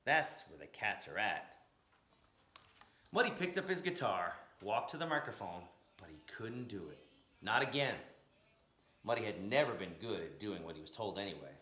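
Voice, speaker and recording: Guy reading a storybook out loud, really dramatic n rhythmic, voice swings up and down, roomy echo. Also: on the low side, very animated, moderate pace. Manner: dramatic, emphatic, rhythmic phrasing. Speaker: storyteller reading a picture book aloud, blues educator. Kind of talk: expressive scripted storybook narration. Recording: roomy, echoing room sound.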